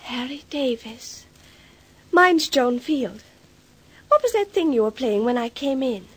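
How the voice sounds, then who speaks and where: A woman's voice: high-pitched, sing-song, measured pace, slightly rough, heavily acted. An actress playing an eager, impulsive young woman in an old radio soap opera, with a put-on American accent and a gushing manner. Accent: American, put on by an Australian actor. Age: young adult. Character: eager, impulsive young society daughter in romantic drama.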